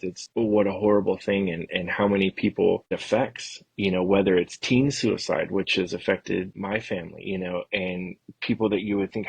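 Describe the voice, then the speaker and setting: A man's voice: lowish voice, creaky, slightly rough, no echo, rough recording. A man in his early forties talking earnestly and reflectively in a casual conversation, as a guest. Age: early 40s. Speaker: podcast guest, poker player.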